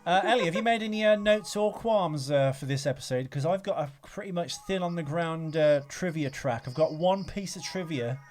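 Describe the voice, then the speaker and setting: A man's voice: on the high side, moderate pace, hardly any pauses. A man co-hosting a podcast, chatting casually and jokily about films in a rambling, sweary way. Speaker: podcast co-host. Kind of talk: casual comic film chat.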